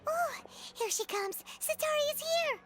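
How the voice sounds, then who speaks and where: A woman's voice: lively intonation, measured pace, a little hiss or hum. A woman voicing a tiny, chirpy, blunt fairy girl in a video game, childlike and exclamatory, calling herself by name in the third person. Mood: nervous. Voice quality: childlike, squeaky. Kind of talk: video game character dialogue. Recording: loud music behind.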